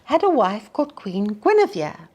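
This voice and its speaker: A woman teacher introducing and reading a narrative poem to schoolchildren in a deliberate, expressive way. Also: fairly high pitch, lo-fi sound. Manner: deliberate, expressive, addressing children. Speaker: teacher reading a story to pupils. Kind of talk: storytime introduction and dramatic poetry reading.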